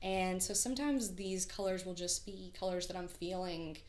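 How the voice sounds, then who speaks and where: A woman's voice: lowish voice, moderate pace, darkish tone. Woman chatting in an echoey room. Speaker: guest artist. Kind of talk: casual podcast conversation. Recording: roomy, echoey sound.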